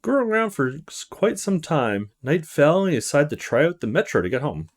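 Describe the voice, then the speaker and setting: A man reading the text of a visual novel aloud as a let's player, in an expressive style. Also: on the high side, normal speed.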